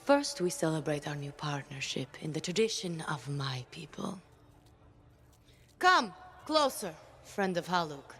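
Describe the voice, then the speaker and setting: An actress playing an imperious female smuggler who calls herself a princess, speaking in a slow, regal and menacing way in a video game cutscene. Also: deep voice, big pitch swings, fairly bright tone, heavily acted. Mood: commanding. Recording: game audio with music score.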